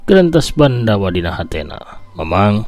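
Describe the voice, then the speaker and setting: Deep, slow Sundanese male storyteller. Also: sing-song, darkish tone, faint music behind. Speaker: folk-tale storyteller, host. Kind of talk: dramatic storytelling in radio-tale manner.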